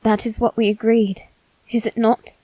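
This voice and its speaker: A woman playing a wounded, possessive teenage boy aristocrat in an ASMR roleplay, speaking haltingly with long pauses. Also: on the high side, flat delivery, dark tone, no room sound, close to an everyday voice, low-quality recording. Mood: resigned, tense. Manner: slow, halting, measured delivery with long pauses. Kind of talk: ASMR character roleplay, scripted drama.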